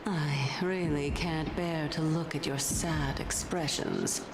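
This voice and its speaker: A woman's voice: low-pitched, steady tone, slow, echoey room, noisy background. A woman playing a sly, elegant sage in a video game, speaking with coy, teasing condescension. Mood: smug, persuasive.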